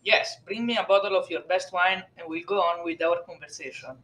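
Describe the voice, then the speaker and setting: A woman voicing a grave, probing priest in an audiobook, with measured, solemn and formal delivery. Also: on the low side, a bit bright, lightly acted, rough recording. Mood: probing, reserved.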